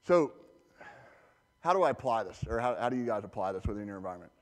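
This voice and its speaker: A man's voice: lowish voice, big pitch swings, fast. A man giving a conference talk as a presenter, conversational with many fillers and restarts. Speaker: conference presenter, security architect. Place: large conference hall. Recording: reverberant hall.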